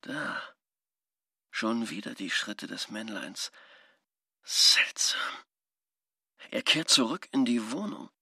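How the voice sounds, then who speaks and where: A man's voice: mid-range voice, animated, slow, vocal fry, pauses often, sharp tone, husky, dry recording, clean studio sound, full character voice. An actor playing a shrewd, nosy man thinking aloud as he eavesdrops, musing in clipped phrases in a radio drama. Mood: tense, watchful. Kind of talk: radio drama interior monologue.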